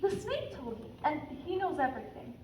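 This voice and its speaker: A woman's voice: sits high, big pitch swings, fairly quick, a crowd in the background. An actress playing Eve, the playful first woman, teasing and giggly in a stage musical. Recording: roomy, distant stage sound.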